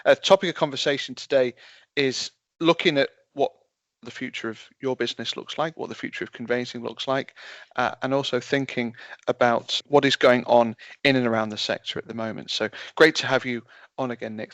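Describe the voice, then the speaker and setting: A man hosting an interview podcast, chatting in a friendly way and trailing off quietly at the ends of phrases. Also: medium pitch, fairly even intonation, fairly quick, no room sound. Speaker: podcast host. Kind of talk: conversational interview. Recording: dull, dry lo-fi sound.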